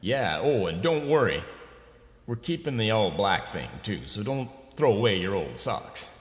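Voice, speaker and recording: A man's voice: lowish voice, very animated. Man playing a swooping, slick record executive in echo. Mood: pushy, excited. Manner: fast-talking salesman patter with wheedling asides. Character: slick, pushy, greedy male record company executive. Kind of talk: comic radio play acting. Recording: echoey, long reverberant room sound.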